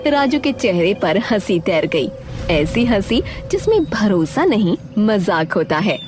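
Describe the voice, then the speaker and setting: A woman delivering dramatic scripted narration for an animated story. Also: medium pitch, measured pace. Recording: loud background music.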